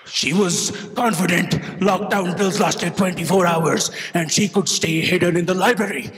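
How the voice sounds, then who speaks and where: Man playing a soft-hearted alien, rather high voice. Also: steady tone, normal speed, faint music behind. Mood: regretful. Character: lovelorn, soft-hearted male alien delivery guy. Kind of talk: staged audio drama comedy. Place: on stage in a theatre. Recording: noisy live stage recording, hall reverb.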